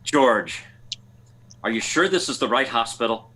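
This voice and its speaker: A sensible, dry-humoured best friend from a radio comedy played by a man. The voice is bright and forceful with lively swings in pitch, over faint background noise. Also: measured pace. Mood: exasperated, sensible. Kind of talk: old-time radio comedy acting.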